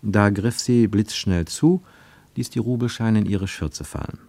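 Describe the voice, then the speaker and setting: Male narrator for literary prose, low-pitched voice, very dry studio sound. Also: measured pace, vocal fry, a little mellow, no echo. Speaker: narrator reading a literary story.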